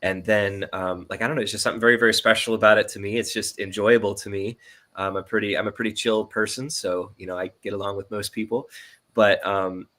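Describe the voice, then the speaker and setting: A low-voiced man in his thirties with a bright, forward tone. He speaks casually as a guest in an interview, easygoing and sincere, often circling toward his point. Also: steady tone, fast talker. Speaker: audio drama producer and editor, interview guest.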